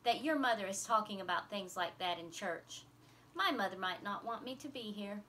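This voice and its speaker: Storybook role: prissy, disapproving schoolgirl read by a woman, hushed and tattling, with a bright edge. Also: medium pitch, lively intonation, normal speed, slight background noise, natural voice. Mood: prim disapproval. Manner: prim, hushed. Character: prim, disapproving, tattling little church girl. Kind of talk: children's novel read aloud.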